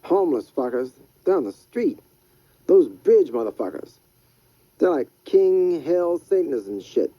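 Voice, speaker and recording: A man voicing an excitable, profane street hustler in an audiobook reading. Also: a little high, a little slow, no echo, lo-fi sound, pitched above the performer's own voice. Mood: agitated. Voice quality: profane, heated.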